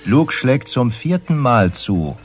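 An actor playing a shrewd local newspaper chief in a radio crime drama, telling things in a knowing, theatrical, self-assured way. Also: male voice, mid-range voice, sing-song, slow, soft background music. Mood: ominous storytelling.

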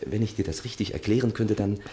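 An actor as a deep-voiced, lovestruck young suitor. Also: male voice, quick pace, warm tone, close to an everyday voice. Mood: ardent, pleading. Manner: theatrical, ardent declarations with hesitant stammers. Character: infatuated, tipsy young male journalist and suitor. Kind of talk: 1960s German radio play acting.

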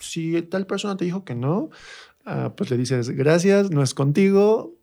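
A man with a central Mexican accent talking casually as an interview guest, animated and repeating phrases for emphasis. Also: fairly high pitch, measured pace, vocal fry. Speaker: radio guest, actor and theatre teacher.

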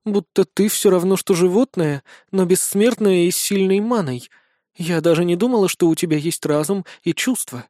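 A man's voice: high voice, normal speed, mellow, no room sound, clean studio sound, lightly acted. A man voicing an imperious young noblewoman in an audiobook, formal and persuasive in manner. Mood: stunned.

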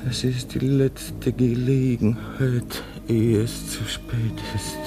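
A man's voice: mid-range voice, little pitch movement, slow pace. An actor in his thirties playing a frightened man who pleads urgently to be heard, with music behind. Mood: desperate. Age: 30s. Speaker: radio play lead.

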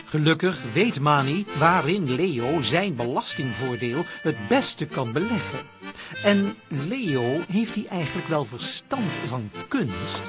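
A radio drama announcer reads a scripted teaser slowly, with a very lively melody. Accordion music plays clearly underneath the measured storytelling voice. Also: mid-range voice. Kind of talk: scripted episode introduction.

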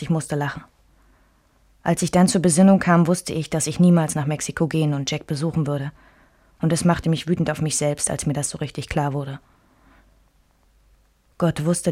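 A woman's voice: low voice, warm tone. An actress playing a wry, reflective woman recalling a love triangle, narrating in the first person in a German radio drama.

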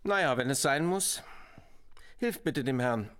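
A man's voice: mid-range voice, expressive, a little slow, fairly bright tone, clear, resonant tone. A man playing a fussy, formal engineer who is officious and polite, in a comic radio drama. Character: fussy, formal engineer at an odd research station.

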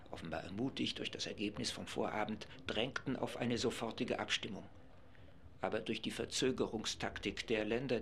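A 1940s radio reporter calling a live assembly session, his voice lowish and steady, with a faint hiss under it. He describes the hall and the delegates as things happen. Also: vocal fry. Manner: brisk, informative, narrating events. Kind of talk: live broadcast commentary, radio play.

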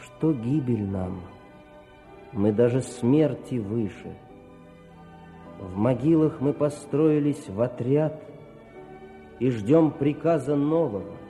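A man's voice: medium pitch, lively intonation, takes it slowly, pauses often, dark tone. An actor playing an earnest, patriotic male officer voicing his letter home in a heartfelt radio drama recitation. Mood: solemn, fervent. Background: faint music.

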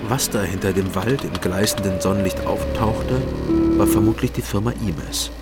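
An actor playing a male former detective who narrates his own story in a measured, dry way, in a radio drama. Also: lowish voice, lightly acted. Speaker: first-person narrator and detective. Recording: radio play over loud music score.

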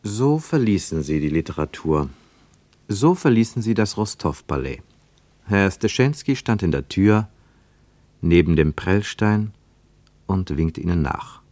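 Low-voiced wry literary narrator, close and dry. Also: male voice, animated, a little slow, darkish tone, no room sound. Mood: measured, wry. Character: literary narrator of a Cold War journey, wry, measured. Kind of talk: scripted literary narration, German radio play.

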